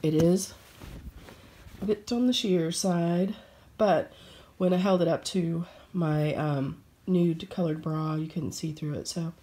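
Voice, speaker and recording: A middle-aged woman chatting casually about her sewing plans, relaxed and pausing with fillers. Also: lowish voice. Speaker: sewing vlogger.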